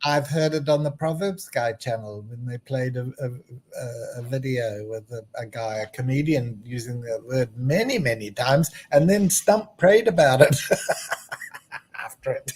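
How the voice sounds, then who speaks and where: Man, host, casual chat, laid-back, husky voice. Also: medium pitch, a little slow, a little mellow. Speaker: channel host.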